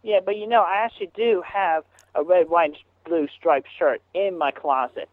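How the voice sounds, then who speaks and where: A man on a phone call, talking haltingly with many fillers and restarts. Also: sits high, dry recording. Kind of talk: rambling phone conversation. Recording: tinny phone line.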